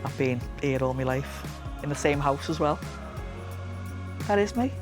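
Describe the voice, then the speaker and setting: A man's voice: a little high, lively intonation, a little slow. A man, chatting anecdotally about old family photos in a documentary interview. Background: background music.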